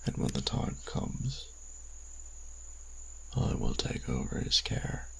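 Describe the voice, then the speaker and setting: A man playing a cold, stern vampire church enforcer in an audio drama, speaking in a grave, measured, formal way with a deep rasp. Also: lightly acted. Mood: cold, solemn. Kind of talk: audio roleplay drama acting.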